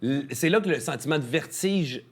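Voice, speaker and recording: A man's voice: a little high, animated, moderate pace. A man with a Québécois French accent, a guest in a casual studio chat, talking in an easygoing, drawling way.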